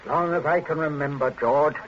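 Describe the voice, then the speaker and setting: A man's voice: a little high, brisk, natural voice. An actor playing a domineering middle-class father in a 1940s radio drama, with an imperious, clipped, exasperated manner. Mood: sternly scolding. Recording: muffled vintage 1940s radio recording.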